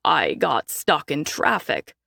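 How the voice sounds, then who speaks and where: A woman's voice: low-pitched, big pitch swings, slow pace, creaky, sharp tone, dry recording, studio quality, voice pushed down, exaggerated voice. A woman voicing a smug, grinning young man who speaks in an easygoing way in an audiobook.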